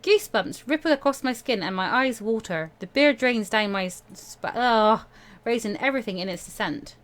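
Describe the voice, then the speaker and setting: A woman's voice: a little high, lively intonation, normal speed, fairly bright tone. Woman reading a visual novel aloud. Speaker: game playthrough host. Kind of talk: expressive visual novel read-aloud and casual commentary.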